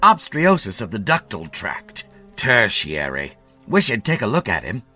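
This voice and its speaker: A man's voice: lowish voice, huge pitch swings, unhurried, sharp tone, soft background music, full character voice. A man voicing a distraught, haggard doctor in a story reading, earnest and worried.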